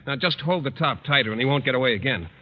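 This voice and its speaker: An American actor playing a shy, literal-minded biology teacher in a radio comedy, earnest and hesitant, slipping into pedantic explanation. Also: male voice, medium pitch, expressive, fast talker, natural voice. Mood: amazed, pedantic. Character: bashful, naive, earnest male biology teacher. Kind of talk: old-time radio comedy acting. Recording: dull, noisy vintage radio broadcast recording.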